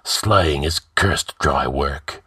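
A man voicing a gruff, wrathful barbarian king with a growling, blunt delivery in a fantasy audiobook. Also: low voice, a little slow, fairly bright tone, no echo, clean studio sound, heavily acted. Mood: weary grim humor. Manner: grunting, blunt, imperious.

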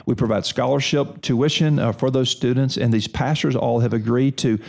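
Seminary leader, forty, gravelly voice, earnest and repetitive, mid-pitched. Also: male voice, steady tone, normal speed, darkish tone. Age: 40s. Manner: earnest, repetitive, preacherly phrasing. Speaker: seminary president. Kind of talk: interview answers.

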